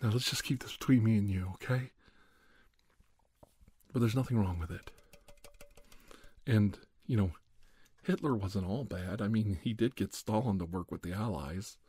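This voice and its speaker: A man in his forties performing a comedic roleplay monologue to camera in a halting, defensive, conspiratorial manner. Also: lowish voice, dark tone, slightly rough, dry recording, studio quality. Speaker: video host.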